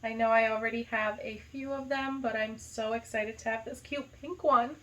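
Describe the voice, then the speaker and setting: A woman's voice: a little high, fairly even intonation, moderate pace, pushed and bright, rough recording. Gushing young woman unboxing gifts. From United States. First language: not Arabic. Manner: gushing, enthusiastic, lots of "like" and "so cute". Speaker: vlogger, high school teacher. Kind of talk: casual unboxing vlog.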